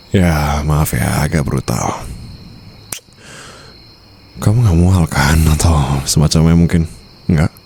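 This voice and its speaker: Man acting a deep, creaky mafia boss husband. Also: darkish tone, heavily acted. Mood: reassuring, tender. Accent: Indonesian. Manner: teasing, coaxing, murmured endearments with English phrases mixed in. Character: possessive, doting mafia boss husband, ruthless to rivals. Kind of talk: intimate ASMR roleplay.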